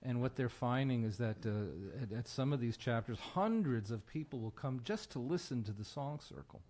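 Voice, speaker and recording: An American man speaking as an interview guest, earnest and conversational with a halting delivery. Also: medium pitch, expressive, a little mellow. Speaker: guest musician and broadcaster.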